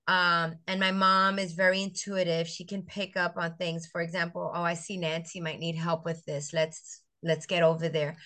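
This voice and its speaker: A middle-aged woman from the Virgin Islands, a teacher and founder, talking through her story in a relaxed interview with pauses and restarts. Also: mid-range voice. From US Virgin Islands (St. Croix). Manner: reflective, storytelling, with frequent fillers and restarts. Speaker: guest, educator and business founder. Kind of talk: conversational interview.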